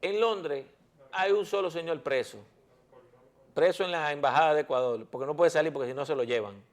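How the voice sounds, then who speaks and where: Man, lawyer guest, slightly high voice, insistent, repeats words to keep talking. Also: fairly quick. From Dominican Republic. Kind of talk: heated talk-show debate.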